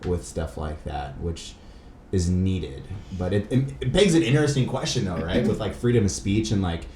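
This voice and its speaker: A low-pitched man talks as a podcast host in a casual, rambling, questioning way. The room rings noticeably around his voice, with faint noise behind it. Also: big pitch swings, normal speed, a little mellow. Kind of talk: casual conversational interview talk. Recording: roomy echo with faint background noise.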